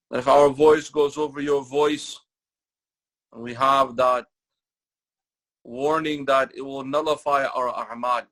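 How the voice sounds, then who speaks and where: A man lecturing in a mosque, his voice gravelly with a mid-range pitch. He speaks slowly and deliberately, repeating key phrases, and he breaks into recited Arabic verses before explaining them in English. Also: a bit bright, rough recording. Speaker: imam giving a Ramadan lecture. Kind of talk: sermon-style religious lecture.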